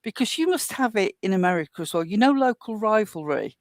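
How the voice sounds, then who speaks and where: Measured woman with a Midlands accent. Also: mid-range voice, lively intonation, no echo, studio quality. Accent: Midlands English. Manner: measured, defensive, explaining at length. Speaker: panel guest. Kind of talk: heated panel discussion.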